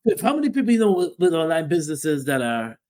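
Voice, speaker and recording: A man's voice: fairly high pitch, big pitch swings, quick pace, darkish tone. A man co-hosting a podcast, talking casually about relationships and repeating phrases for emphasis. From Guyana (family). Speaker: podcast co-host. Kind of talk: casual opinion talk.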